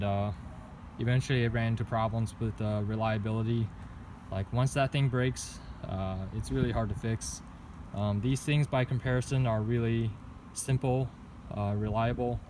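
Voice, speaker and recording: A man giving a casual, unscripted walkthrough of his gear in a halting, hesitant way. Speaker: vlogger reviewing own bike setup. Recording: noisy outdoor recording with traffic rumble.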